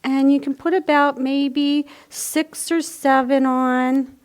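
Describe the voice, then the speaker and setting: High-voiced, slow-talking woman instructing children. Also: flat delivery. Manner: simple step-by-step explanations addressed to children. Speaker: library storytime presenter. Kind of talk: children's craft demonstration, casual instruction.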